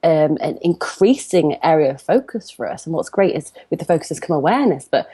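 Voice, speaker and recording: A woman in her early thirties speaks in a slightly low voice with a Northern English accent. She talks as an interview guest, chatty and animated, telling stories from her own life in a conversational way. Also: a little fast, husky. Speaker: interview guest, comparison coach. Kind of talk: conversational interview, personal storytelling.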